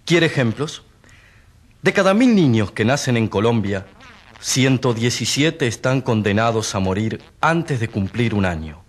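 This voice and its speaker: A man's voice: mid-range voice, sing-song, a little slow. A man playing an earnest, indignant rural doctor giving testimony in a radio drama, grave and didactic. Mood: grave, sorrowful. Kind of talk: Spanish-language radio drama acting.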